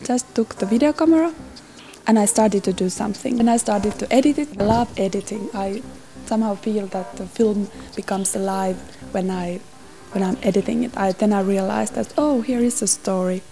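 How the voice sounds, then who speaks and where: A woman artist talking reflectively in short, halting phrases in an informal interview. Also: mid-range voice, gravelly. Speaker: photographer and artist being interviewed.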